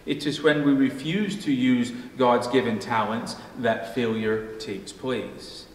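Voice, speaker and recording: A middle-aged man, a pastor, preaching a measured sermon from a pulpit in a church sanctuary, slowing down at the ends of his phrases. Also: mid-range voice. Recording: echoey hall, faint room noise.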